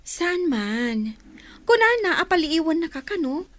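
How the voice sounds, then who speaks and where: A woman's voice: very high voice, big pitch swings, unhurried, a bit bright, heavily acted. A woman with an Ilocano accent acting a teasing younger sister in a radio drama. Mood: earnest, teasing. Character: younger sister confiding teasingly in her older brother.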